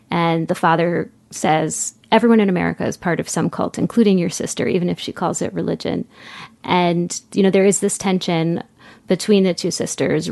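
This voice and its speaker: A woman hosting a literary podcast, putting measured, thoughtful questions to an author in conversation. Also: lowish voice, creaky, darkish tone. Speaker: podcast host interviewing an author.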